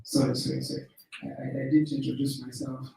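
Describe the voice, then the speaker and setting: A man with a Zambian accent moderating a session, introducing it informally and haltingly. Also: medium pitch, monotone, vocal fry, mellow. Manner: hesitant, halting. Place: conference room. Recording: very echoey room, distant mic.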